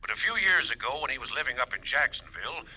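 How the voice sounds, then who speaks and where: A man's voice: mid-range voice, expressive, quick pace, sharp tone, low-quality recording. An actor playing a genial, folksy American businessman phoning an old pal in a 1950s radio drama. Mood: concerned.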